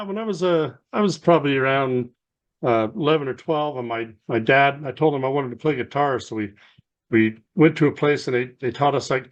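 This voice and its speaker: A middle-aged man, a musician guest with a low voice, telling stories in a relaxed, rambling way with lots of fillers. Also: muffled recording. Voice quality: lower voice. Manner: rambling storyteller, many fillers and restarts. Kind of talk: casual interview storytelling.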